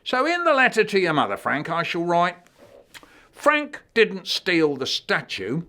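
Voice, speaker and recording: Brief: a man playing an exasperated blustering teacher as judge, high and loud. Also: wildly swooping pitch, measured pace, exaggerated voice. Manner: blustering, exasperated, shouting for order.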